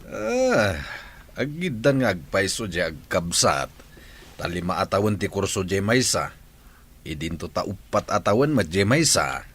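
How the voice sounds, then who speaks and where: A man's voice: low voice, big pitch swings, a little slow, a bit bright, breathy edge, heavily acted. A man playing a humble, hardworking village farmer in an Ilocano radio drama. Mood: mild.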